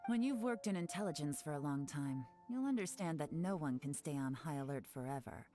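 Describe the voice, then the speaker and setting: A woman voicing a cool, composed female intelligence agent in a video game, talking in a calm, measured, knowing way. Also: deep voice. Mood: commanding. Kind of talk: game dialogue. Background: game music.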